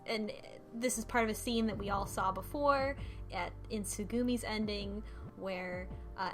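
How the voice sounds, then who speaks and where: A woman's voice: mid-range voice, a bit bright. A woman hosting a casual book club discussion, retelling a story in a rambling, conversational way and loosely quoting characters. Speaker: podcast host recapping a story.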